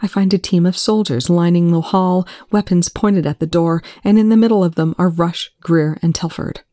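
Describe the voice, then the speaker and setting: A woman reading aloud, voicing a distraught young man who shouts and then chokes out whispers through tears. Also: fairly low pitch, steady tone, normal speed, no room sound, clean studio sound, close to an everyday voice. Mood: urgent recounting.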